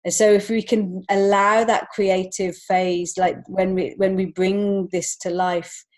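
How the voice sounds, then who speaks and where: A woman's voice: mid-range voice, little pitch movement, a little slow, a little mellow. A woman speaking as an interview guest in an earnest, reflective and encouraging way. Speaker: podcast guest, children's author and illustrator. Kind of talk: conversational interview answers.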